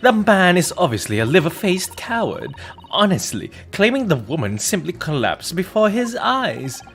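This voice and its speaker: A man's voice: high-pitched, big pitch swings, normal speed, gravelly, fairly bright tone, voice pushed up, heavily acted. A man voicing a haughty, prejudiced upper-class woman juror in a courtroom game, with an affected, condescending manner. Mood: haughty, indignant.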